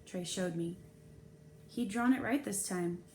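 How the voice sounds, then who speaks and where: A woman reads a children's novel aloud as a storyteller. Her voice is medium in pitch, and there is some noise in the recording. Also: unhurried, a little mellow. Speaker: storybook reader. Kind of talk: expressive read-aloud narration of a children's novel. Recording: clear background noise.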